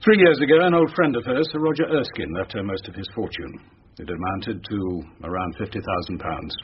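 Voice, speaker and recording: An actor playing a curt, guarded English army captain in a radio drama, speaking in a clipped, defensive and coolly reserved manner. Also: male voice, fairly low pitch, brisk, husky. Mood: controlled, factual. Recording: dull radio recording with faint noise.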